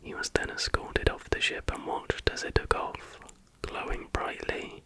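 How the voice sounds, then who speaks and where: A man telling stories and commenting in a soft, breathy ASMR whisper, with deliberate delivery. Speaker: ASMR host.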